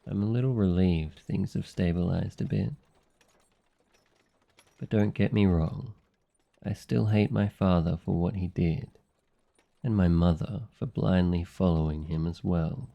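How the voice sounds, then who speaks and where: A man playing a devoted, jealous young demon familiar in love, speaking softly and intimately in an ASMR boyfriend roleplay. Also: low voice, measured pace, gravelly, long pauses, muffled recording. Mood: reflective, bitter.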